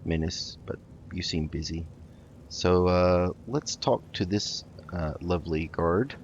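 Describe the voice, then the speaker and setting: Deep-voiced, hesitant man roleplaying a game. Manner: hesitant, polite, halting. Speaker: let's play host. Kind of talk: improvised in-character roleplay while playing a game. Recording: dull lo-fi mic with noticeable noise.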